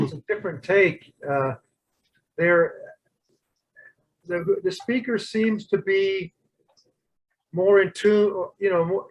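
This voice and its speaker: A man leading a church Bible study discussion, talking in a halting way with many restarts. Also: high voice, breathy edge, muffled recording. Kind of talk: casual group discussion.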